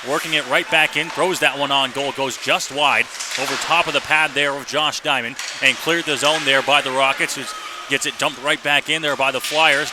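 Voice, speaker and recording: Guy doing hockey play-by-play on radio, kinda high voice, lots of rink noise behind him. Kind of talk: live radio sports commentary. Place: indoor hockey arena. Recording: noisy live arena radio broadcast.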